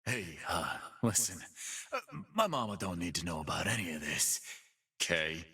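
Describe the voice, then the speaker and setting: Game role: brash, streetwise half-beast fight boss, low but forceful, sardonic swagger. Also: male voice, normal speed, husky, distant mic, exaggerated voice. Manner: streetwise, boastful, deadpan wisecracking. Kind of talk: video game character voice lines.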